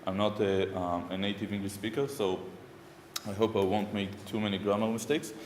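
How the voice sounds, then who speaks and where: Israeli man, thirties, lowish voice, chatty tech speaker, far mic in a reverberant conference room. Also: little pitch movement, normal speed, darkish tone, a little hiss or hum. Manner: informal, chatty, frequent ums and uhs. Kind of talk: technical conference talk. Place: conference room with projector screen. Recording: echoey hall from far off.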